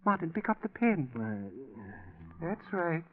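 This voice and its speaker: An actor playing an earnest dead old man's spirit in 1940s radio drama style, speaking with solemn, deliberate drama. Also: high-pitched. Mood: urgent, pleading. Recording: tinny band-limited old radio recording.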